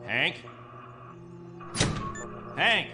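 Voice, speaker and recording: A man acting in a radio drama as a stern, commanding captain, speaking with measured, sardonic authority. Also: a little high, very animated, music in the background, heavily acted. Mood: brisk, commanding. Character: stern, commanding male captain of a stranded settlement.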